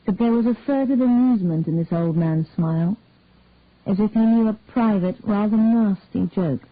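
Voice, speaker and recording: A woman's voice: medium pitch, normal speed, no echo. Woman narrating a detective story. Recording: tinny dry phone-like sound.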